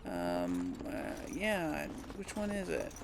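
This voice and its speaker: A woman hosting a casual let's play, thinking aloud at a slow, easy pace with very animated pitch. Faint noise sits behind her chatty commentary. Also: creaky. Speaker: let's play host, role-playing a character.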